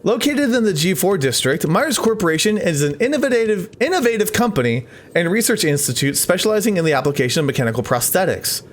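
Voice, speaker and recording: Higher-voiced man chatting through a game. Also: very animated, fast talker, hardly any pauses. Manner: chatty, talks to the game characters. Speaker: let's play host. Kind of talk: gameplay commentary mixed with reading game text aloud.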